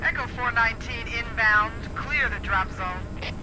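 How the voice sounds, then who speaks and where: A woman's voice: a little high, unhurried. A woman playing a tough, cool-headed military dropship pilot in a video game, talking in clipped phrases through a radio filter. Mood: brisk. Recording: noisy radio transmission with aircraft sound.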